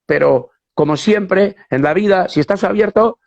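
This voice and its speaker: A man's voice: sits high, normal speed, gravelly, darkish tone. A man hosting a casual interview who also teaches, speaking with enthusiastic, emphatic repetition. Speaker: host and whistling teacher. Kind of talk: casual interview over a live video stream. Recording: lo-fi online video call audio.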